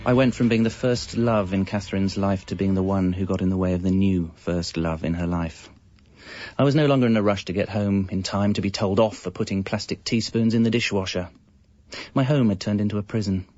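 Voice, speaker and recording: An actor playing a wry husband and young father, narrating a comic radio monologue in a deadpan, confessional way. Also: low-pitched, fairly quick, close to an everyday voice. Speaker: narrator and lead character. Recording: muffled, band-limited audio.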